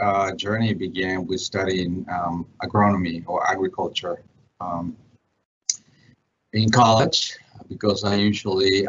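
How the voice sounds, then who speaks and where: A middle-aged man from El Salvador, a parks manager on a virtual panel, speaking haltingly with many fillers. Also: low voice, a little hiss or hum. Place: home or office, remote call. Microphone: video call. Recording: muffled video-call audio.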